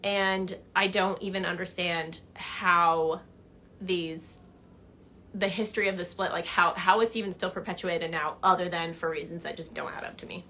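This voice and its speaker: A young woman answering viewer questions in a casual livestream chat from her living room couch, animated and chatty. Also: lowish voice, moderate pace. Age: young adult. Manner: chatty and animated, gestures a lot, laughs while telling stories. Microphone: phone camera at arm's length.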